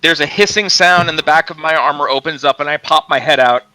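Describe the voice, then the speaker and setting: A man playing a tabletop game, talking casually and restarting his sentences. His voice is bright and a little high. It sounds dry and close, as through a headset on a voice call. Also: a little fast, no room sound. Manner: casual, many fillers and restarts. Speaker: player. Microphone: headset over a voice call.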